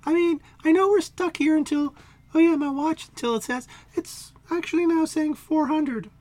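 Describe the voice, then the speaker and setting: A tiny squeaky whiny creature. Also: male voice, moderate pace, a little mellow, no room sound. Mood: uneasy. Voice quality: squeaky, high and nasal. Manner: rambling surfer-dude slang, talks nonstop.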